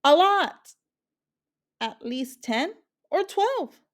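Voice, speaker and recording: An eager young boy in a storybook, voiced by a woman reader, speaks in a high, earnest voice. He sounds excited and prone to exaggerate. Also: drawn-out words, heavily acted. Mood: insistent, exaggerating.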